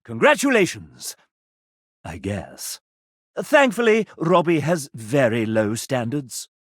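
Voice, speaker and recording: A man voicing a sassy, pompous, playful gentleman who hosts and narrates a video game in a showy, theatrical way. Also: medium pitch, slow pace, no echo, clean studio sound. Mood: dry, sardonic. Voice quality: animated theatrical delivery. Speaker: game show style host and narrator.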